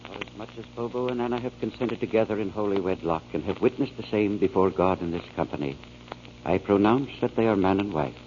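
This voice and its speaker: A man's voice: fairly low pitch, brisk, a little mellow, close to an everyday voice. A man playing a wedding minister in a 1940s radio drama. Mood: solemn. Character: jovial, solemn minister officiating a wedding. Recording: muffled, noisy 1940s radio recording.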